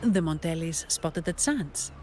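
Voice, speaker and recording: A woman's voice: sits low, expressive, a little slow, darkish tone, slight background noise. A woman playing a composed, courteous young female guide in a video game, polite and formal as she explains things. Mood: composed, informative. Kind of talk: video game cutscene acting.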